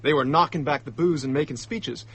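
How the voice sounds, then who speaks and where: An actor playing an indignant, hot-headed young male diplomat in a radio drama, talking in excitable slang. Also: fairly high pitch, a little fast, husky. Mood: agitated recounting.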